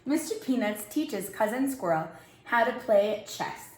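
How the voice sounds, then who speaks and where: A woman's voice: fairly high pitch, animated, a little slow. A woman working as a storyteller reads a picture book aloud expressively, addressing young children. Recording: roomy, echoing indoor sound.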